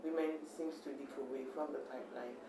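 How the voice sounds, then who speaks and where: A man's voice: mid-range voice, fairly even intonation, brisk, creaky, dark tone. Distant man in an echoey, noisy room. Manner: earnest, reads out figures before asking. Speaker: interviewer. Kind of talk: interview questions.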